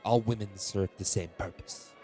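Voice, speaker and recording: A man's voice: on the low side, fairly even intonation, normal speed, warm tone, lightly acted. A man acting as a cold, domineering middle-aged king in a fantasy audio drama, commanding and contemptuous. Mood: cold, threatening. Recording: music behind the voice.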